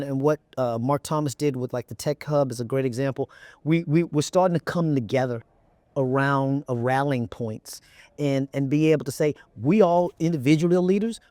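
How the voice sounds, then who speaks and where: A man's voice: a little high, mellow, no echo. A middle-aged man from Texas with an American accent answers conversationally as an interview guest, repeating phrases for emphasis. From Texas, United States. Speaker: chief executive, interview guest. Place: indoor event interview set. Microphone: lapel mic.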